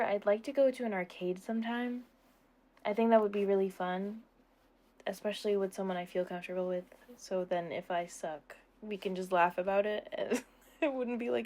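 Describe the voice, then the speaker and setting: A woman's voice: mid-range voice, darkish tone. A young woman in a casual question-and-answer chat, talking in an informal, rambling, giggly way. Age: young adult. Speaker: content creator answering subscriber questions.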